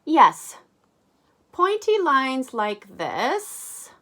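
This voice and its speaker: An excitable young storybook lad played by a woman, pitched up high and very animated. He speaks in eager, enthusiastic exclamations, keen to create. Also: very slow, full character voice. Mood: excited, eager.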